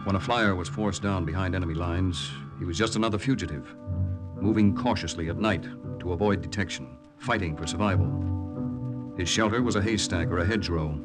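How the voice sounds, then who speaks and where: An actor playing a calm, resolute American secret agent lieutenant, telling his wartime story in a measured, earnest 1940s radio drama style. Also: sits low, natural voice. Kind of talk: 1940s radio acting, first-person dramatic narration. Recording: 1940s radio recording, music underneath. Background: dramatic music.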